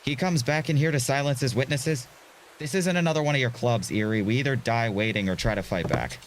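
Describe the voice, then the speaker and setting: A man's voice: medium pitch, a little fast, slight background noise, close to an everyday voice. An actor playing a hot-headed young male hostage in an audio drama, urgent, stammering and defiant.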